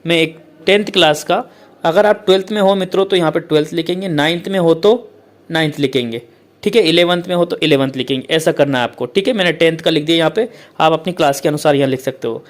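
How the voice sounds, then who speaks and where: A male teacher who explains a letter format step by step, repeats points often and reads English lines out slowly. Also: on the high side. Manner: repetitive, keeps saying "okay, friends".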